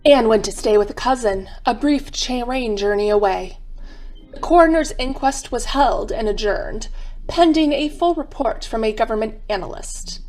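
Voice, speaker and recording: Female narrator for scripted radio drama, fairly high voice. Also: normal speed, a little mellow, soft background music, rough recording.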